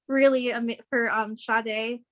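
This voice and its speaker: A woman in her late twenties, a fan talking casually and haltingly, with many fillers and giggles. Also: fairly high pitch, fairly even intonation. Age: 20s. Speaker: fan guest. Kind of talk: casual chat.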